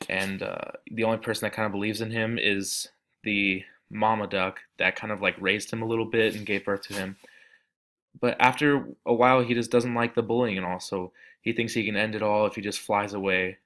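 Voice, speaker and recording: A young man giving a casual class presentation on screen, rambling with many fillers and restarts, with a deep voice. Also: fairly even intonation, a little fast, fairly bright tone.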